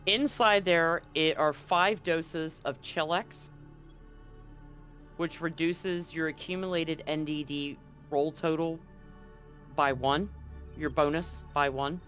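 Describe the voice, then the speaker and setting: A man narrating a tabletop roleplaying game as game master, casually and somewhat haltingly, feeling for his words. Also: a little high, steady tone, a bit bright, faint music behind.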